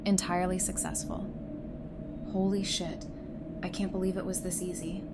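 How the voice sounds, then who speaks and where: An actress playing a frank, determined and playful psychiatrist surviving the apocalypse, talking informally in an audio drama. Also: female voice, fairly low pitch, little pitch movement, moderate pace, natural voice. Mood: excited relief. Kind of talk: fan audio drama acting. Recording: clearly noisy, with ambience behind.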